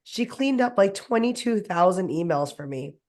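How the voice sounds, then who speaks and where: A woman speaking hoarsely while getting over a cold. She chats enthusiastically on a panel and runs through long lists. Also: mid-range voice, expressive, normal speed, darkish tone. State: hoarse from a cold, losing her voice. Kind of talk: casual panel discussion.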